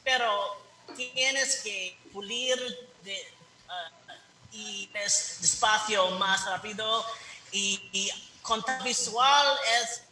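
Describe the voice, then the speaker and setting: A man giving a halting speech evaluation over a video call, often searching for words. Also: high voice, sharp tone, soft background music. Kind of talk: online club speech evaluation. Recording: echoey room, distant mic.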